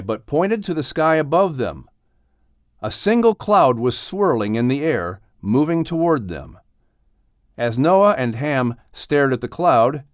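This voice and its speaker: A man narrating an audiobook story in an expressive, dramatic storytelling manner. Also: medium pitch, dry recording, clean studio sound.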